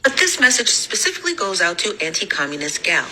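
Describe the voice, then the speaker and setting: A woman's voice: mid-range voice, big pitch swings, quick pace, sharp tone. A woman content creator speaking in a lecturing, accusatory way in a clip from her own video. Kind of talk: clipped video callout.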